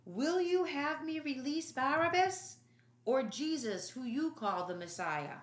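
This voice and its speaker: An exasperated Roman governor from a storybook, played by a woman, booming out judgments in a raised pitch. The delivery is emphatic and commanding, with big swings in pitch and a roomy echo around it. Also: lively intonation, a little slow. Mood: angry, exasperated. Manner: loud, emphatic, declamatory.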